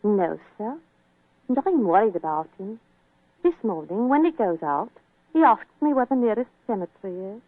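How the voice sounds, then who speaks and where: A woman's voice: medium pitch, sing-song, normal speed, no echo. An actress playing a deferential, plain-spoken working-class English landlady in a 1940s radio drama, with a fretful manner. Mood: worried. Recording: tinny, dry old radio recording.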